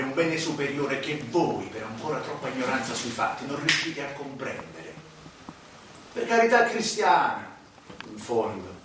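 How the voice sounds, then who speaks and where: An actor playing an arrogant, unrepentant Sicilian mafioso, delivering a solemn, declamatory stage monologue with dramatic pauses. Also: male voice, medium pitch, background noise, natural voice. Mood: defiant, self-righteous. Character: proud unrepentant Sicilian male mafioso confessing, arrogant, self-righteous. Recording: echoey distant stage recording with music. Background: music.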